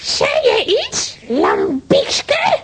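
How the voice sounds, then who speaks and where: An actress playing a cruel, gloating witch in a children's audio play, shrill and creaky, taunting and bossing her victims. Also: huge pitch swings, drawn-out words, background noise, heavily acted. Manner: taunting, commanding.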